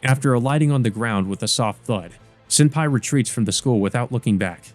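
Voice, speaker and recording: A man's voice: medium pitch, fairly quick, darkish tone, close to an everyday voice. A weary, wounded teenage boy, read by a man narrates his own visual novel story. The voice is mid-range and grave, in first-person storytelling over background music.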